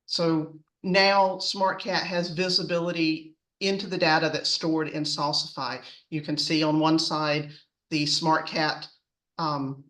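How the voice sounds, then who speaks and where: A woman's voice: sits low, a bit bright. Woman giving a business presentation, slightly distant mic. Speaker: corporate customer presenter in a webinar. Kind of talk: semi-scripted business presentation.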